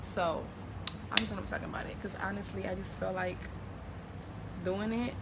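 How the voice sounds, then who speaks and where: A woman's voice: mid-range voice, steady tone, moderate pace, vocal fry, very noisy. A young woman from Honduras with a Spanish accent, chatting casually to her camera with lots of fillers and restarts. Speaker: vlogger.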